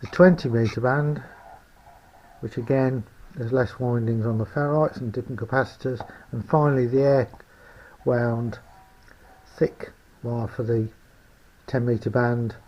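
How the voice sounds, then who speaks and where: A man informally presenting a hands-on demonstration of his homemade radio build, explaining it in a hesitant, halting way. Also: mid-range voice, fairly even intonation, dull audio.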